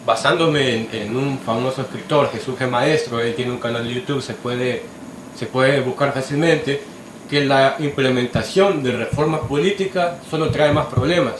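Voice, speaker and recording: A man's voice: medium pitch, normal speed. Argumentative man in an echoey room. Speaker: panelist. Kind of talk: casual discussion. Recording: echoey room with faint background noise.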